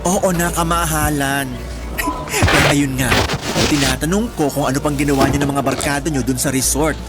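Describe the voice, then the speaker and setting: A woman's voice: sits low, steady tone, normal speed, close to an everyday voice. A woman playing a teasing, nagging, easily exasperated friend in Tagalog radio drama dialogue. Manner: teasing, exclamatory. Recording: loud music bed under voice.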